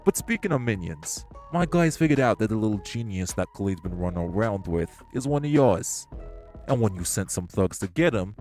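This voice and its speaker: A hot-tempered gangster boss, acted by a man in an audio drama, speaking in a very low, deep register. He is blunt, vulgar and always ready to fight. Faint music plays underneath. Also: a little mellow, close to an everyday voice. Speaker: club-owning gangster. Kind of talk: audio roleplay acting.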